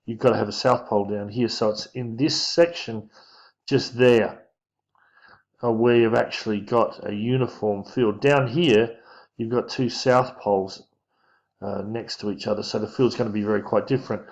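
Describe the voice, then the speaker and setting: A man giving an informal physics lesson, talking through diagrams in a casual, halting way as he thinks aloud. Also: on the low side, fairly even intonation. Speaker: physics teacher. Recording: dull lo-fi microphone sound.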